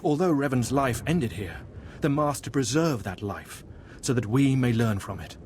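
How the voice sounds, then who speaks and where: A man's voice: mid-range voice, darkish tone, a little hiss or hum, close to an everyday voice. Man acting a solemn, devout cult guide. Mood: solemn, reverent. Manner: measured, reverent, formal. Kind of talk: scripted video game voice acting.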